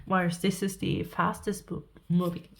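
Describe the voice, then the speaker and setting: A woman with a Norwegian accent giving a pick-a-card tarot reading, sniffly and halting, restarting her thoughts as she speaks. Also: fairly low pitch, flat delivery, gravelly, a little hiss or hum. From Norway. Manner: halting, thinking aloud with restarts and soft laughs. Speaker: tarot reader.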